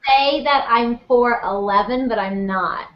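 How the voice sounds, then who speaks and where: A woman's voice: fairly high pitch, a little slow, slight background noise. Giggly young woman, echoey hall. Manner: giggly, enthusiastic, eager to encourage. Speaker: guest, video parody creator. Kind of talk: casual convention panel chat. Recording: dull, echoey hall audio.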